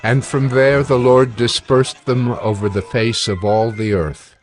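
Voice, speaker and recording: A man reading scripture as a narrator, with formal, measured storytelling. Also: mid-range voice, steady tone. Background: faint music.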